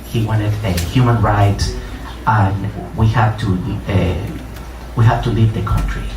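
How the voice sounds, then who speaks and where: A middle-aged man with a Colombian Spanish accent speaks in a fairly low voice at a public reading. His delivery is halting and hesitant. He sounds far from the microphone in an echoey room. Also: steady tone. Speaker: writer and poet giving a reading. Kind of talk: public reading with personal introduction. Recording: roomy, distant mic, clear noise.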